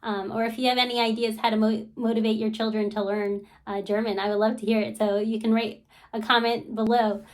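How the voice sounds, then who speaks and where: A woman talking to her viewers in a relaxed, chatty vlog style. Her voice sits a little high, and she fills pauses with frequent ums and you-knows. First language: English. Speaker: channel host. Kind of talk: casual talk to camera.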